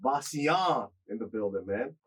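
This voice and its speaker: A man hosting a casual radio interview, joking and animated, his voice leaping up when he tells a story. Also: a little slow, a little hiss or hum, low-quality recording. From Kenya. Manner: animated, jokey, leaps high when telling stories. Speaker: radio DJ and host.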